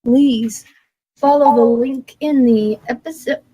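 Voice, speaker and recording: High-pitched woman podcast co-host. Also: slow pace, dark tone, dull audio. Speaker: podcast co-host making announcements. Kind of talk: casual panel talk with announcements.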